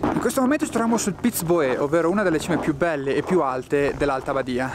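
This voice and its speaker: A man's voice: fairly high pitch, fast, background noise. A young man talking to a handheld camera as a travel vlogger, chatty and upbeat, speaking directly to his viewers. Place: mountain outdoors and hotel room. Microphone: handheld camera at arm's length.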